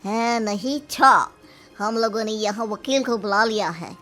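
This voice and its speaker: A haughty middle-aged cartoon mother, put on by a woman, commands with scorn. The voice is forceful and sharp, pitched well below the performer's heroine, with music faintly behind. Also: measured pace, close to an everyday voice. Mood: angry, threatening.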